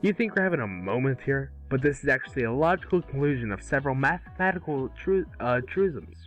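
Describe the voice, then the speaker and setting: Man acting a glib rich playboy, flippant, rambling, lively mid-range pitch, music behind, table read. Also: brisk, close to an everyday voice. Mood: smug, seductive. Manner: flippant, rambling, stumbles over big words. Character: cocky, glib, wisecracking billionaire arms-maker playboy, adult man. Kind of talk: amateur script table read.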